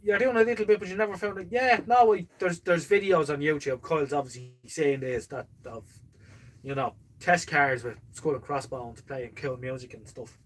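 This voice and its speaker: Husky, higher-pitched man chatting live. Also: normal speed, rough recording. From born in the Netherlands, lives in Ireland. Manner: rambling, jokey, self-deprecating. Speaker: live stream host. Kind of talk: casual live stream chat, answering viewers' comments.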